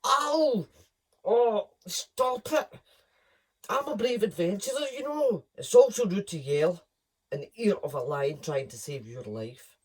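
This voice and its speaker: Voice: medium pitch, expressive, a little slow, husky, voice pushed up. A reader doing a boastful little lion cub. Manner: loud, proud, boasting. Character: brave boastful young male lion cub. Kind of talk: storybook reading.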